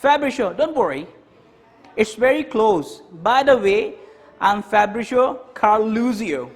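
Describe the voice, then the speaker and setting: A man reading aloud as a friendly, helpful Italian shoe shop owner, pitched noticeably high for a man and read slowly, line by line from a textbook dialogue. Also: animated.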